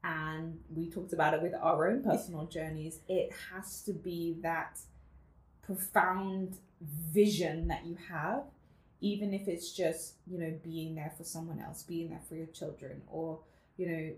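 A woman's voice: sits low, steady tone, a little slow, roomy sound. A woman from the Netherlands in her twenties, a guest telling her life story in a casual interview, reflective, laughing often and using many fillers.

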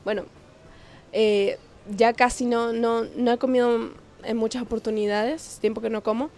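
A woman's voice: a little high, level tone, moderate pace, a little mellow, slight background noise. A young woman from Ecuador, a guest in a casual interview, talking hesitantly with many fillers and easy laughs. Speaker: guest, singer.

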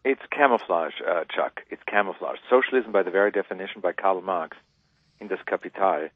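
A man's voice: deep voice, a little fast, dry recording. A man from Austria, a guest speaking emphatically on a radio interview over the phone. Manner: emphatic, preaching conviction. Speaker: guest author. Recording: tinny phone line.

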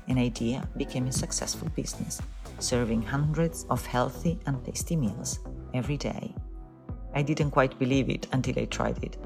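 A woman's voice: very deep voice, vocal fry. A woman hosting a podcast, reading a formal, polished introduction and asking scripted interview questions. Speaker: podcast host. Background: intro music.

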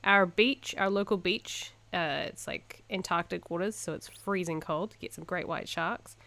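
A woman's voice: on the low side, unhurried, fairly bright tone, no echo. A young woman from New Zealand with a New Zealand accent, co-hosting a podcast in casual, chatty talk and laughing easily. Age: young adult. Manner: chatty, laughs often, self-mocking. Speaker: podcast co-host. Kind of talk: casual chat.

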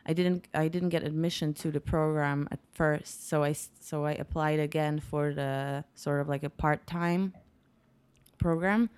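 A woman's voice: sits low, steady tone, a little slow, a little mellow, clean tone. A young woman from Poland with a Polish accent, chatting casually as a guest in a home kitchen and telling stories with many restarts. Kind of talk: casual chat. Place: home kitchen, music heard outside.

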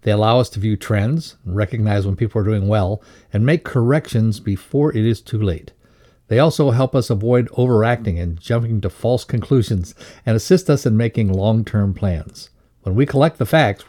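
A man with a dark, mellow and slightly low voice reads a prepared lesson. His delivery is measured and instructive, like narration for a training course. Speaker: reader of a values lesson. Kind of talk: scripted educational narration.

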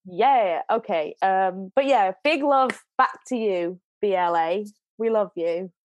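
A woman co-hosting a casual podcast, her voice congested from hay fever, laughing often and dropping low and soft at the ends of her phrases. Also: expressive, slow pace, lo-fi sound, a little hiss or hum. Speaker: podcast co-host. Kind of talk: casual chat, reading listener letters.

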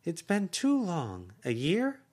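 A man's voice: fairly high pitch, wildly swooping pitch, takes it slowly, darkish tone, pitched above the performer's own voice, full character voice. A man voicing a cheerful, effusive aunt who talks in an emphatic way. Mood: gushing. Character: cheerful gushing adult aunt, woman.

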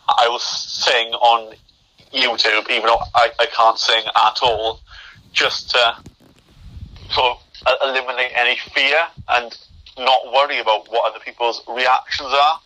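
Bright-toned young man who stammers. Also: mid-range voice, level tone, measured pace, low-quality recording. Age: young adult. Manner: stammers, with repeated starts and blocked words. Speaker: podcast guest. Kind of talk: interview conversation.

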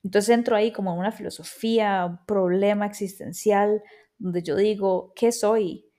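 Mellow-voiced Costa Rican woman podcaster. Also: mid-range voice, steady tone, normal speed. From Costa Rica. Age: 30s. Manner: reflective and chatty, with frequent quoted asides. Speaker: podcast host. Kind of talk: casual personal monologue.

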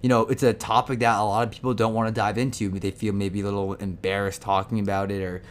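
A man's voice: fairly low pitch, little pitch movement, fast, slight background noise. A man co-hosting a casual podcast, loose and joking, introducing the episode's topic. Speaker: podcast co-host. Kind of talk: casual chat.

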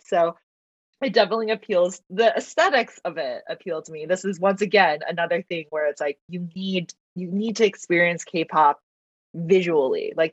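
A woman whose first language is Mandarin, chatting as a podcast guest, talkative and quick to laugh, with lots of fillers. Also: lowish voice, no echo. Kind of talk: casual interview chat. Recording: dull lo-fi dry recording.

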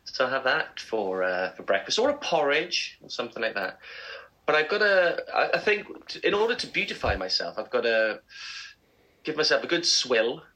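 Bright male co-host, young, rambling and self-mocking; casual breakfast chat. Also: mid-range voice, very animated, normal speed, poor audio.

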